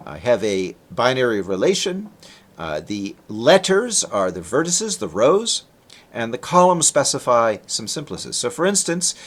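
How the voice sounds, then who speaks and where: A man presents technical research in an explanatory, conversational lecture style. His intonation is lively and moves up and down a good deal. He talks as a researcher walking listeners through slides. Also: mid-range voice. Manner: explanatory, conversational, with rhetorical questions.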